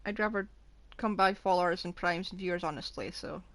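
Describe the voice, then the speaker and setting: A woman's voice: fairly low pitch, a little fast, a bit bright. A woman game streamer giving casual, wry, offhand livestream commentary.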